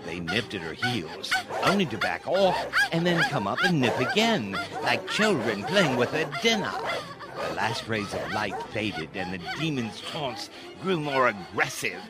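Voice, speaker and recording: A man narrating a dark fairy tale as a theatrical, scripted radio-play storyteller. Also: medium pitch, sing-song, a little slow. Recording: prominent music under the voice.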